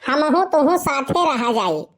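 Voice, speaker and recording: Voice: high-pitched, very animated, a little slow, a bit bright, full character voice. A performer voicing a boastful young village slacker in a comic cartoon story, with a rustic eastern Uttar Pradesh Awadhi accent. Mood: cheerfully scheming.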